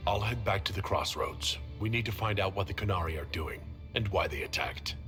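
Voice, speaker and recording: An actor playing a resolute, steady fantasy leader in a video game, speaking in a firm, measured manner. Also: male voice, deep voice, soft background music. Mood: reassuring, resolute. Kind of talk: dramatic video game dialogue.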